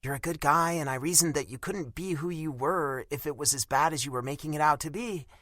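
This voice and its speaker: A man's voice: on the high side, a little fast, dry recording, studio quality, voice pushed up. A man voicing an irritated young American woman who talks bluntly and with sarcastic bite. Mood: hurt. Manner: sharp, sarcastic, cutting.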